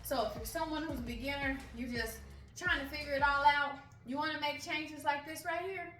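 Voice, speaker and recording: A woman's voice: sits high, fairly even intonation, brisk, a bit bright. A woman in her forties giving an encouraging fitness vlog, often checking in with the viewer. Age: 40s. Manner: encouraging, keeps checking in with "okay?". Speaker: fitness vlogger. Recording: echoey room, voice from a distance, music behind.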